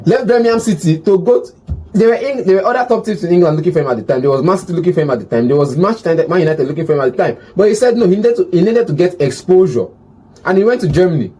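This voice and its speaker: A man in a heated football debate, arguing and repeating himself as he builds his point; his voice is hoarse. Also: sits high, lively intonation, racing, warm tone. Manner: argumentative, repeats words while building a point. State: hoarse, says he has lost his voice. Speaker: football pundit.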